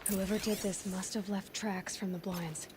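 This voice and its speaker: An actress playing a self-assured young female hunter in a video game, speaking in a measured, firm, matter-of-fact way. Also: mid-range voice, fairly even intonation, lightly acted. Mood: matter-of-fact, observant. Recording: clear ambient noise under the voice.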